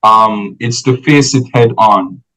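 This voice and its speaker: A man, a podcast guest giving measured, explanatory advice who often checks in with "right?". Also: on the low side, sharp tone, rough recording.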